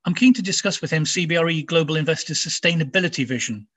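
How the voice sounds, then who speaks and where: A man from Nigeria hosting a podcast interview, measured and trailing into low asides. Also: a bit bright, slightly rough. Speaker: podcast host.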